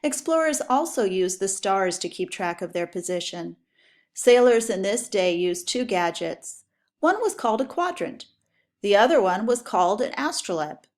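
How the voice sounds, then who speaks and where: A woman teacher reading educational text aloud for pupils, with clear, deliberate, instructional phrasing. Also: mid-range voice, animated, a little mellow.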